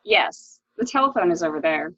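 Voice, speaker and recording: A woman playing a cool, weary, bitter wife in a murder mystery reading, with a wry, deliberate, dramatic delivery on a lo-fi recording. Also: on the low side, animated, pushed and bright, no echo. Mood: coolly resigned. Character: cool, weary, bitter wife who shot her husband. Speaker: actor in an amateur library reading.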